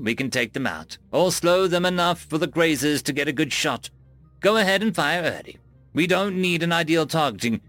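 A man's voice: fairly high pitch, a bit bright, voice pushed up. Man voicing a snarling alien starship captain. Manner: proud, scornful, snarling. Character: fierce female alien starship captain with eye stalks.

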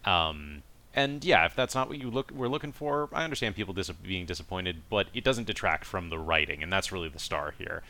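Deep, bright-voiced man, measured talker. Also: lively intonation. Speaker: podcast co-host reviewing anime. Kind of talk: casual review discussion.

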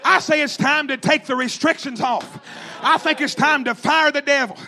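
A man's voice: very high voice, normal speed, bright, forceful tone. A man preaching a fervent revivalist sermon, emphatic and repetitive, calling out to his congregation in rhythmic exhortation. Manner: calls out to the congregation.

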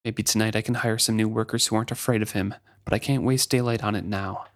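A man's voice: fairly low pitch, fairly even intonation, fast talker, natural voice. A man voicing a fierce, exasperated sea captain in a fantasy storybook, with commanding, emphatic delivery and swearing. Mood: resigned, firm. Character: fierce exasperated ship captain berating his stubborn living ship. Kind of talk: dramatic reading from a fantasy novel.

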